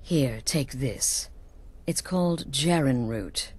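Female assassin chief, very low voice, measured, conspiratorial, fantasy game. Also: animated, darkish tone, breathy edge, full character voice. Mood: confident, conspiratorial. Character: cool, scheming female assassin leader. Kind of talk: video game character dialogue.

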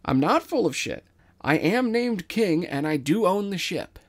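A man's voice: sits high, sing-song, measured pace, voice pushed up, full character voice. A man voicing a scummy schemer who poses as a regal king in a storytelling podcast, declaiming grandly. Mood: defiant, commanding. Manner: grandiose, declamatory when addressing subjects. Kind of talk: dramatized script reading.

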